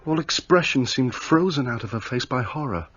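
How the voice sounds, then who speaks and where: An earnest, guilt-ridden young man in a radio play. His mid-pitched voice is husky, and the sound is dull. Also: expressive, moderate pace, a bit bright. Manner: earnest, remorseful.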